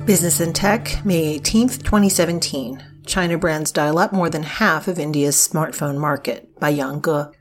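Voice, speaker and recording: A woman's voice: deep voice, moderate pace, over music. A woman reading scripted business articles aloud for a news podcast, in a formal, informative delivery.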